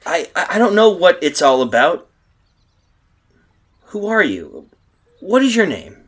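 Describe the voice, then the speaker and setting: Male actor as frightened man in a radio horror play, halting, stammering, husky, highly animated, dramatic first-person narration. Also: exaggerated voice. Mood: flustered, agitated. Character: frightened, bewildered man stranded in a nightmare world. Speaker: actor narrating and playing the lead.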